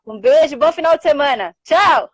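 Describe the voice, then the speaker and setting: A woman's voice: sits high, lively intonation, moderate pace, no room sound. A young adult woman with a Brazilian Portuguese accent, hosting a live interview and thanking her guest effusively. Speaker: host.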